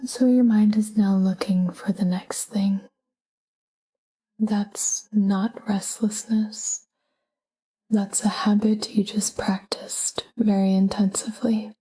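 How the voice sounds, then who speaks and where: A woman guiding a sleep meditation in a soft, breathy whisper, calm and gentle, speaking in short, unhurried phrases. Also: mid-range voice, rough recording.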